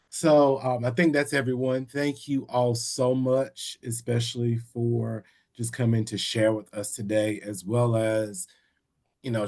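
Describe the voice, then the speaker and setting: A man's voice: mid-range voice, a little mellow. A man moderating a panel on a video call, self-deprecating and thankful to the guests.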